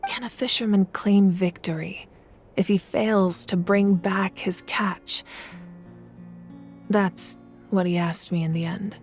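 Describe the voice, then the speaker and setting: A woman playing a calm, worldly leader. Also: mid-range voice, faint music behind, close to an everyday voice. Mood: reflective. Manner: calm, measured, recounts stories at length. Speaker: Archon of the land. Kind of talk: game voice acting.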